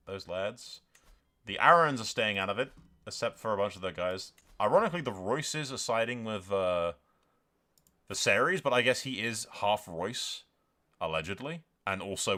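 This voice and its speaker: Animated man doing theatrical gaming commentary. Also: lowish voice. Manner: theatrical, jokey, sweary. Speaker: gaming video creator. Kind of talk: casual game roleplay commentary with dramatic narration.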